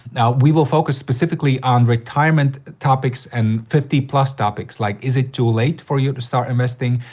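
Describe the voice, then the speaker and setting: A middle-aged man from Latvia leads a live online workshop at an ordinary mid-range pitch. He talks loosely and conversationally, often pausing on fillers and restarting his sentences. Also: a little mellow. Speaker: workshop host. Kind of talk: live webinar presentation.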